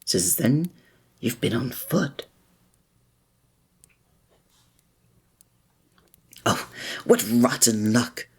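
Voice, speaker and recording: Guy doing a gentle flirty innkeeper for audio roleplay, breathy, really expressive pitch, talks all formal and olde worlde. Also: slow, heavily acted. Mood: concerned, curious. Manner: formal old-fashioned diction, gentle and attentive. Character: kindly, flirty male village innkeeper, courteous and tender. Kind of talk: scripted roleplay audio drama, one-sided conversation with the listener.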